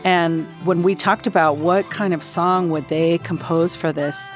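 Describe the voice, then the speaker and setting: A woman being interviewed about an arts project, explaining things with pauses as she searches for words. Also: on the low side, fairly even intonation, faint music behind. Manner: hesitant, pausing to find words.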